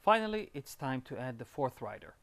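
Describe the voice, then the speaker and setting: A man's voice: mid-range voice, very animated, fairly quick, a bit bright. A man giving scripted step-by-step instructions as an upbeat tutorial presenter.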